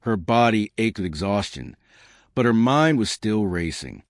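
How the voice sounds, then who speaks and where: A man's voice: a little slow, no room sound, clean studio sound. A man narrating a scripted story, lifting his voice for the characters' lines. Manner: lively storytelling, shifting pitch for quoted characters.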